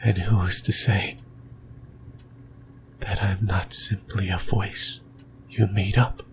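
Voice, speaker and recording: Man acting a slow, whispering, lonely demon. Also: lowish voice, little pitch movement, background noise. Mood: eerie, musing. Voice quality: breathy whisper. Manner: slow, halting, rambling, intimate. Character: lonely, possessive male sleep paralysis demon, musing and uncertain. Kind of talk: creepy whispered ASMR roleplay monologue. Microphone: close microphone, whispering into the ear.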